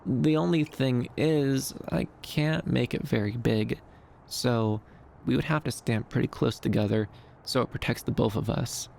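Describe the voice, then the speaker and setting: Guy doing soft shy fox boy asmr, bit creaky, hesitant n soothing, faint background ambience. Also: mid-range voice, unhurried, slight background noise. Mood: bashful, tender. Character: shy, gentle, caring young male dream fox companion. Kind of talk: ASMR roleplay, soft comforting monologue.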